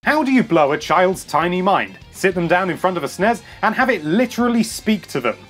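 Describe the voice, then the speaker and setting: A man's voice: high-pitched, big pitch swings, a little fast, a bit bright. A British man hosting a scripted countdown with an enthusiastic, cheeky manner. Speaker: video host. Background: music.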